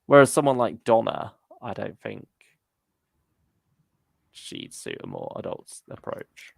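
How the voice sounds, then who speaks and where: A man's voice: lowish voice, wildly swooping pitch, takes it slowly, creaky, no room sound. A man hosting a casual fan discussion livestream, thinking aloud in a tentative way as he talks. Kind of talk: casual chat.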